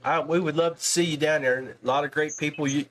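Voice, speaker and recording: A man's voice: fairly high pitch, fairly even intonation, quick pace, fairly bright tone. A man hosting a podcast, interviewing in a casual, folksy, chummy way and often saying man and brother. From West Virginia, United States. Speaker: podcast host interviewing anglers.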